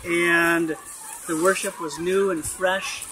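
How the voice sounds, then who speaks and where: A woman's voice: lowish voice, fairly even intonation, slow pace. Woman, coach, encouraging, recaps and supplies words, noisy recording. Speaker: coach guiding a testimony.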